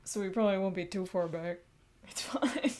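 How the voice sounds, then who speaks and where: A woman's voice: mid-range voice, moderate pace, a little mellow. A woman streaming a game, chatting casually in a giggly, self-deprecating way with some mild swearing. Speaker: streamer voicing a visual novel game. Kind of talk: casual livestream chat.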